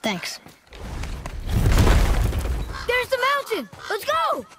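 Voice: very high-pitched, wildly swooping pitch, extremely slow, over music, heavily acted. A young performer playing an eager boy, a warrior's son anxious to prove himself, in a video game. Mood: compliant, then excited. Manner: youthful, eager, inquisitive. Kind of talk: video game character acting.

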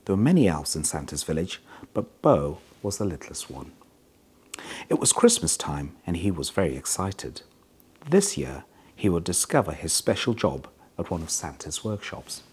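A middle-aged man reading a children's story aloud in an expressive, measured way. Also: deep voice, a little hiss or hum. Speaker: hospital chief executive reading a children's story.

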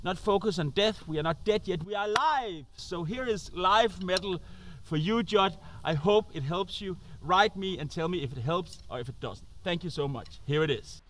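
A Danish man with a high voice addresses his viewers informally. His English is accented and halting, as he searches for words while presenting outdoors. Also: background noise. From Denmark. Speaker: host and musician. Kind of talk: informal talk to camera. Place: outdoors on an open roadside.

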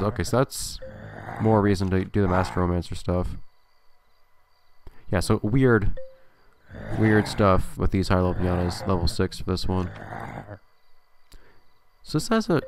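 Deep, gravelly man with a stuffy nose. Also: a little hiss or hum. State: stuffy nose, slightly nasal. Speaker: let's play host. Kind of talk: casual gameplay commentary.

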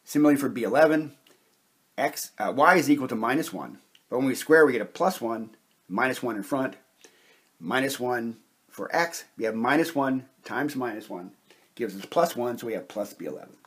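A man with a slightly husky voice giving a methodical, step-by-step explanation as a science instructor at a whiteboard. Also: mid-range voice, animated, moderate pace, fairly bright tone. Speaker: chemistry instructor.

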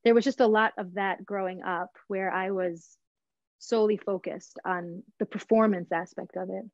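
A middle-aged woman telling her story in an animated interview over a video call, talking with her hands and often adding "right?". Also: mid-range voice, normal speed, no echo, highs cut off. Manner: expressive, gestures while talking, frequent "right?". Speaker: guest, voice teacher and singer. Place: home room with bookshelves.

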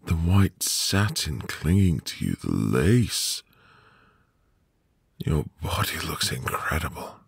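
A man playing a sweet, reassuring newlywed husband in an intimate ASMR roleplay, with a gravelly, breathy voice and a gentle manner. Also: rumbling low, big pitch swings, dry recording, exaggerated voice. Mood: gentle, admiring. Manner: slow, gentle, soothing. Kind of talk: ASMR boyfriend roleplay, intimate soft delivery.

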